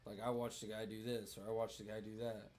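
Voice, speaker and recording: Hoarse, rambling male livestreamer. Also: fairly low pitch, flat delivery, brisk, a little mellow, soft background music. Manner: rambling, profane, joking with chat. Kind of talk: casual livestream chat.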